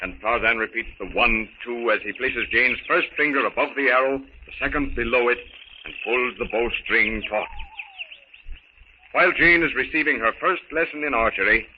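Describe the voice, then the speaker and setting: A man's voice: mid-range voice, normal speed, natural voice. A man narrating a jungle adventure serial in an earnest, dramatic 1930s radio style on a muffled, hissy recording. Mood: earnest, suspenseful.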